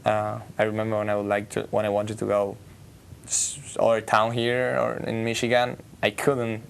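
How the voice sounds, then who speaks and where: A teenage exchange student from Argentina, speaking English hesitantly in a studio interview. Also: male voice, fairly low pitch, monotone, moderate pace, vocal fry, clean tone. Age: teens. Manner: hesitant, searching for words.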